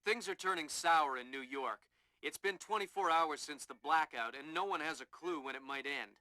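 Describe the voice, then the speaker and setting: A man's voice: fairly high pitch, normal speed, no room sound. An actor playing a businesslike male field operations coordinator, giving an urgent mission briefing in a video game. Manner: forceful, businesslike.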